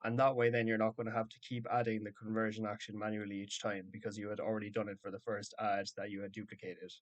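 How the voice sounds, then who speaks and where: A man narrating a step-by-step software tutorial in a matter-of-fact, instructional way. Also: lowish voice, flat delivery, quick pace. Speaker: tutorial presenter.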